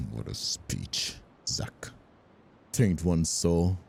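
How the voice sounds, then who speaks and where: An actor playing a menacing, taunting crime boss villain with a gravelly, husky voice, in a dramatic audio role-play. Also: male voice, low voice, expressive, drawn-out words, darkish tone, heavily acted. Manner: taunting, theatrical. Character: menacing, sneering crime boss villain, cowardly when cornered.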